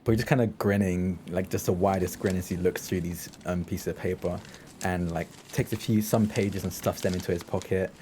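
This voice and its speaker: A man plays a tabletop game, casually describing what his character does in halting phrases. His voice is lowish and mellow, and there is audible noise behind it. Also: steady tone.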